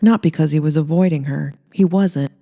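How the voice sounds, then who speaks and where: A storyteller narrates a scripted comic horror audiobook. The voice is mellow and relaxed, sitting at a middling pitch. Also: normal speed, vocal fry.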